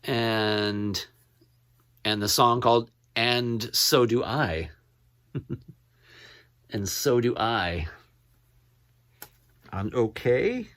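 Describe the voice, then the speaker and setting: A man gives a relaxed, informal show-and-tell of items in his collection. He talks slowly, in halting, rambling stretches, and often says a title twice as he reads it out. The sound is dry, with little room reflection. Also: medium pitch, no echo. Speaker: record collector showing his finds. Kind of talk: casual show-and-tell to camera.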